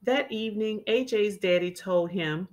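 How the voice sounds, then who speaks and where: A woman reading a children's storybook aloud as a teacher would, expressively and with clearly enunciated words for young listeners. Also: medium pitch, a little slow, darkish tone.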